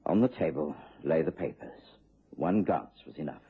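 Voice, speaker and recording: An actor playing an earnest English gentleman doctor and loyal companion in a radio drama, slightly hesitant in manner. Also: a bass voice, expressive, moderate pace, husky, phone-quality sound, heavily acted. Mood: sombre narration. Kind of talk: BBC radio drama acting. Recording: thin, band-limited vintage radio recording.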